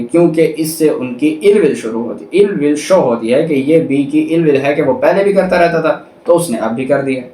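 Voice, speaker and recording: A man's voice: on the high side, darkish tone. Male law lecturer, Pakistani accent, explanatory teaching delivery, recorded in a roomy, echoing space a little away from the mic. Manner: reads legal text slowly and deliberately, explains briskly. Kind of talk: explanatory lecture, reading statutes then explaining. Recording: roomy echo, distant microphone.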